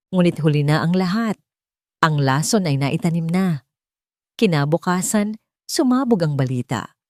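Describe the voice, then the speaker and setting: A woman's voice: low voice, darkish tone, no room sound, clean studio sound. A woman reading a scripted dramatic story as an audiobook narrator.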